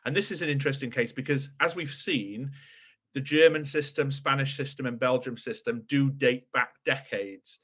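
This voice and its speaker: A man's voice: medium pitch, moderate pace, fairly bright tone, husky. A man guiding a panel discussion at a report launch, speaking conversationally and linking topics before handing over. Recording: dull lo-fi sound.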